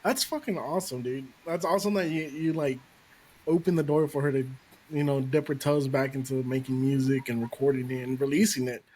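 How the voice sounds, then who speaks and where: A man's voice: mid-range voice, fairly even intonation, a little fast, dark tone, slightly rough, rough recording. A man hosting a podcast, chatting casually and enthusiastically, swearing freely. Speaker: podcast host. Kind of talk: casual interview chat.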